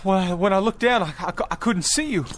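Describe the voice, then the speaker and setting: An actor in a radio drama playing an earnest, bewildered pioneer husband with a halting, stammering delivery. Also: male voice, high voice, slight background noise, natural voice. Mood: shaken, relieved.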